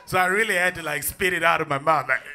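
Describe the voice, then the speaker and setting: A young man from Zimbabwe doing a stand-up set on a comedy club stage with a handheld mic, animated and laughing at his own jokes, jumping into shouted bits. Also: a little high, quick pace. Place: comedy club stage before a live audience.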